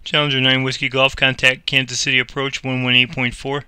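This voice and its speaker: A man's voice: mid-range voice, monotone, a little fast, sharp tone, clear, resonant tone, dry recording, studio quality. A man playing an air traffic controller, mixing radio phraseology with casual commentary on his game.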